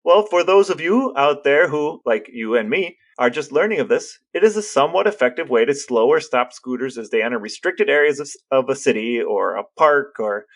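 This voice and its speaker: An American man co-hosting a podcast, explaining things casually in a measured, matter-of-fact way. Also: mid-range voice, lively intonation. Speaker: podcast co-host.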